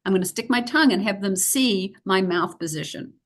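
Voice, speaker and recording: A woman's voice: medium pitch, a little fast. Woman, New Zealand accent, middle-aged, teacher trainer, practical explanations, frequent fillers, webcam video call. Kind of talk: recorded lecture with slides.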